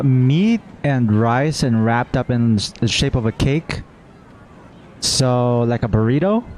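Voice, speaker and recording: A man's voice: mid-range voice, darkish tone, a little hiss or hum. A man giving casual gameplay commentary as a streamer, playfully musing aloud and reading bits of on-screen text. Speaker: gamer, streamer.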